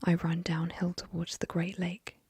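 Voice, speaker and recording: A woman whispering softly into a close microphone, reading a short story aloud as ASMR. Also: fairly low pitch, completely flat delivery, moderate pace, breathy edge.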